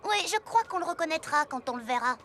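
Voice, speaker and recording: A breezy, confident young cartoon pony, has a very high and bright voice. Faint background noise sits behind her. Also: expressive, quick pace. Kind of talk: French cartoon dub acting.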